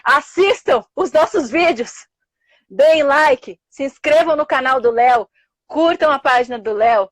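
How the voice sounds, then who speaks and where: A woman's voice: high voice, animated, unhurried, dry recording. A young adult woman with a Brazilian Portuguese accent, hosting a live interview and thanking her guest effusively. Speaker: host.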